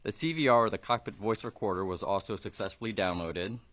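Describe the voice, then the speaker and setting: A man's voice: on the low side, quick pace, a bit bright. A man speaking as a technical investigator, giving a formal briefing in a factual, matter-of-fact way.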